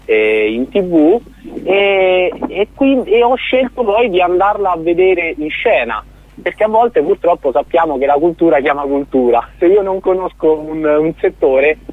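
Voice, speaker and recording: A man's voice: a little high, expressive, normal speed, dry recording. Earnest man on a tinny phone line. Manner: explanatory, earnest, with frequent restarts. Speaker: startup founder, guest. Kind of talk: radio interview over the phone.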